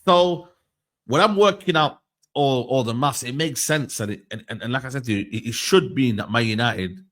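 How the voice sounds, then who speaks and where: A man's voice: mid-range voice, normal speed, fairly bright tone. A man, arguing about football in a casual chat, rambling and repeating himself as he stumbles over his words. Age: 30s. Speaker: podcast host. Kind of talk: heated casual football debate.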